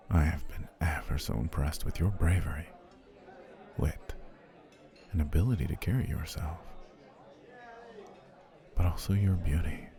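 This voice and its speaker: A man voicing a formal, flattering male potion seller on a dinner date in an ASMR roleplay, slipping into soft whispers. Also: a bass voice, vocal fry, exaggerated voice. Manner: formal, courtly, flattering speech.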